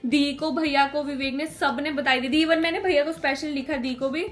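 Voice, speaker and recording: A woman in her late twenties chatting with her husband on their vlog, lively and answering viewer questions. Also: high voice, moderate pace. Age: 20s. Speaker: vlogger. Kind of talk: casual couple vlog chat.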